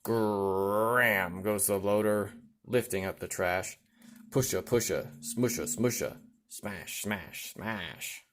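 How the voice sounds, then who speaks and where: Male storyteller, low voice, reading a picture book to a young child with rhythmic, deliberate emphasis. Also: fairly bright tone. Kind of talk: read-aloud children's storytelling.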